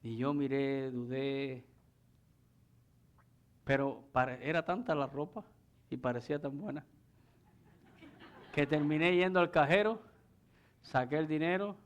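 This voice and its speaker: Male preacher, higher-pitched smooth voice, didactic sermon delivery with rhetorical check-in questions. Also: measured pace, long pauses. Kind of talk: sermon with scripture exposition and anecdotes.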